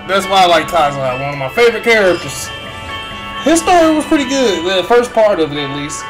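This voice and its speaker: A man's voice: high voice, big pitch swings, moderate pace, long pauses, rough recording. A man giving casual, slangy commentary on a video game as he plays. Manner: slangy, informal. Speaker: gaming streamer. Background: faint game music.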